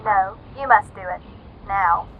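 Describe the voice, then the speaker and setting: A woman's voice: mid-range voice, fairly even intonation, a little slow, phone-quality sound, slight background noise, lightly acted. An actress playing an insistent female voice that gives romantic cues from a tiny radio, in old-time radio drama style. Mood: insistent, coaxing.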